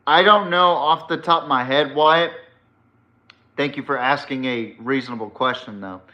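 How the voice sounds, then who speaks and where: A man's voice: medium pitch, moderate pace, pushed and bright, dull audio. A man hosting a sports podcast, giving casual, opinionated commentary in a blunt, emphatic way and swearing freely.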